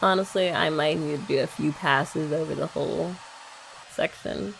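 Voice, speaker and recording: A woman's voice: low-pitched, normal speed, creaky, low-quality recording. A young woman in a playful, teasing ASMR roleplay, chatting as a girlfriend while her hair is dried. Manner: playful teasing chat, soft-spoken. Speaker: ASMR creator. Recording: faint hair dryer noise behind.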